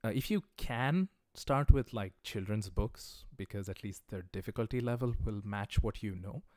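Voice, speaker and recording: A man teaching a livestreamed lesson in a relaxed, conversational way, with many "uh" and "um" fillers, encouraging viewers as he recommends study resources. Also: lowish voice, normal speed, dry recording, studio quality. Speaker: language teacher.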